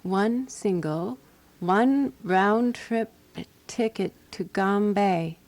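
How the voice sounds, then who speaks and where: Radio play: practical woman companion to a sleuth, medium pitch, skeptical, dry, measured delivery. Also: a little mellow. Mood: shrewd deduction. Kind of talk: radio drama acting.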